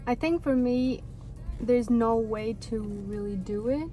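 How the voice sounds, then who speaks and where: A woman's voice: a little high, slow, dark tone, faint music behind. A woman in her twenties from Iceland, a native Icelandic speaker, co-hosting a casual Q&A and chiming in with short, laughing replies. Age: 20s. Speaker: vlog co-host. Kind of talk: casual sit-down Q&A chat.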